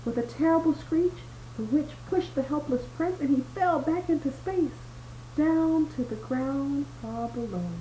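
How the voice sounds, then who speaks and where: A woman reads a bedtime story in a mellow, slightly high voice. She is dramatic and expressive, adding little explanations for a young listener. It is a roomy home recording. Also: measured pace, a little hiss or hum. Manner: dramatic reading with small explanatory asides. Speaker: storyteller reading to a child.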